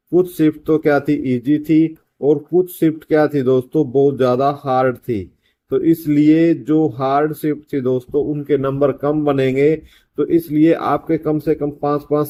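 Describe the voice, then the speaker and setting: A man's voice: medium pitch, darkish tone. A man giving an informal exam-update explainer as a coaching teacher, repeating himself and addressing students as friends. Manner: repetitive, addresses listeners as friends, often checks with "okay".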